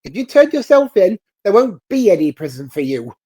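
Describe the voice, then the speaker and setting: A woman voicing a firm, protective ally of the hero in an acted comic reading, earnest and husky. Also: mid-range voice, very animated, normal speed, dark tone. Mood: firm, concerned. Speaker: comic dub voice reader.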